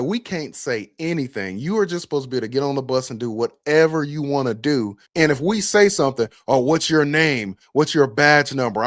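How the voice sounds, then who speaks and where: A man's voice: on the high side, no echo, clean studio sound. An American man giving casual, opinionated reaction commentary as a bus operator and host, emphatic and fond of rhetorical questions.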